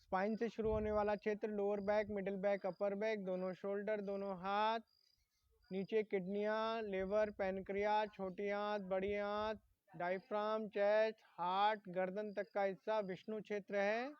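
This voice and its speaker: A man's voice: sits high, flat delivery, moderate pace, smooth. A man leading a guided relaxation meditation as a yoga instructor, giving instructions and naming body parts in short phrases. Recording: dull lo-fi sound in echoey room.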